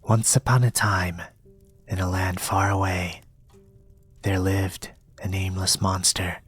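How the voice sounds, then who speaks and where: A young man reading a storybook in a soft-spoken, partly whispered ASMR style, with a gentle, measured delivery. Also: deep voice, level tone, breathy edge. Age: young adult. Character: polite soft-spoken young male reader, quietly eerie. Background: soft music.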